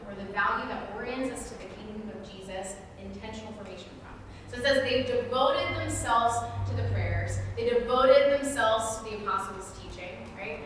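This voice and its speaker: Bright-voiced woman preaching in an echoey hall. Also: fairly high pitch. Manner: casual, chatty, laughs easily. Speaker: pastor. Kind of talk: sermon. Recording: echoey hall audio from far off with faint noise.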